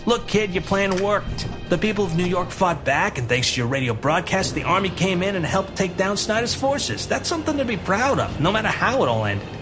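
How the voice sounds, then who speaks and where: An actor playing a weary, wry 1940s police commissioner in an audio drama, dry and grumbling. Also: male voice, high voice, sing-song, brisk, few pauses, music in the background. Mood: earnest. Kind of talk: naturalistic audio drama acting.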